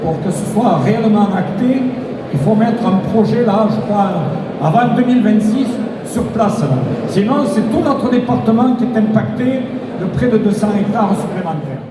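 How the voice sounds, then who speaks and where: A man's voice: high voice, normal speed. Blunt man speaking off the cuff in an echoey hall. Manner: blunt, colloquial, emphatic. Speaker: local elected official, vice-president of the mayors' association. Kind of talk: unscripted public speech. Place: large exhibition hall at a public event. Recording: echoey hall, distant mic, background noise.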